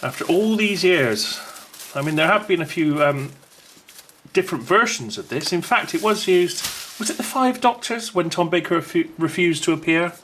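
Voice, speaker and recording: A man's voice: on the high side, animated, moderate pace, fairly bright tone, slight background noise. A man presenting an unboxing video in a casual, unscripted way, chatty and rambling as he thinks aloud.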